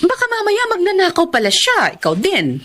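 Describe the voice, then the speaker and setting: A woman's voice: very high-pitched, huge pitch swings, moderate pace, fairly bright tone, full character voice. An actress playing a suspicious, nagging woman in a radio drama, with a pointed, insinuating way of talking. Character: suspicious, nagging woman doubting the new maid.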